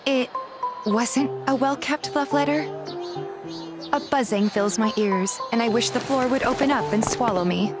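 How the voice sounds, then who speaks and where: A woman's voice: fairly high pitch, a little slow, natural voice. A woman playing a playful, bashful young heroine, reading scripted first-person romance narration and dialogue. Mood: embarrassed, flustered. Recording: loud background music.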